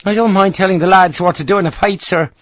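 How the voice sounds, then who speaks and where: A man doing a gruff, big Irish rifleman. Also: sits high, steady tone, quick pace, fairly bright tone, dry recording, voice pushed up. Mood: stubborn. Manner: gruff, salty soldier's talk.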